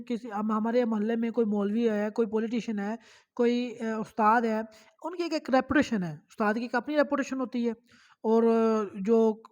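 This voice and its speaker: A man's voice: very high voice, a little mellow. A man with a Pakistani accent giving an informal law lecture, switching between Urdu and English as he reads out and explains with examples, repeating phrases.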